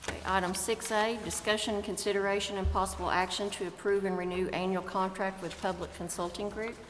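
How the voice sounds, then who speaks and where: A woman presiding over a council meeting, reading agenda items in a steady, measured way. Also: mid-range voice, noisy background. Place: council chambers. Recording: echoey chamber with long reverb.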